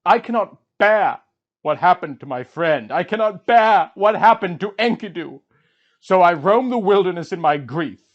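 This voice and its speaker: A man's voice: high-pitched, animated, moderate pace, voice pushed up. Man acting a grief-stricken warrior king, muffled recording. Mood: anguished. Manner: impassioned, lamenting declamation.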